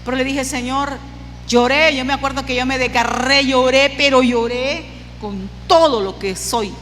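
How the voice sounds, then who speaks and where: Woman preacher w a high voice, emphatic, repeats her phrases, big echoey hall w noise behind. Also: normal speed, fairly bright tone. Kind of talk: sermon with personal testimony. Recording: long hall reverb, clear background noise.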